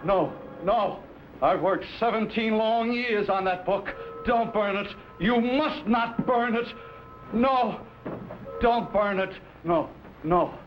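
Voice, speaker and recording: An actor playing an anxious, earnest medieval explorer with theatrical, old-fashioned phrasing in a vintage adventure serial. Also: male voice, high-pitched, takes it slowly, faint music behind. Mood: desperate. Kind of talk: 1950s adventure serial acting. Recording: noisy muffled vintage serial soundtrack.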